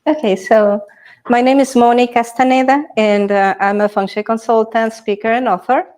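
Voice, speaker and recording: A woman's voice: fairly high pitch, fairly even intonation, normal speed, a little mellow. A woman from Ecuador, giving a live workshop presentation as a feng shui consultant. She speaks conversationally and often checks in with the audience through tag questions.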